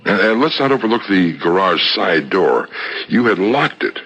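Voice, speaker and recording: An actor playing a shrewd, relentless police detective who methodically lays out accusations in a 1970s radio mystery, on an old broadcast recording. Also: male voice, low voice, big pitch swings, moderate pace, a bit bright, slightly rough, highs cut off, exaggerated voice. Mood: triumphant, insistent. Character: shrewd, relentless male police detective laying out a murder case.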